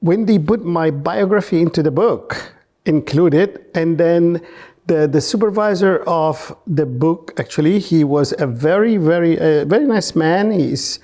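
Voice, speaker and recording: A man from Egypt telling his story as an interview guest in non-native English, haltingly, repeating words for emphasis. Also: a little high, warm tone. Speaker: podcast guest, researcher and businessman.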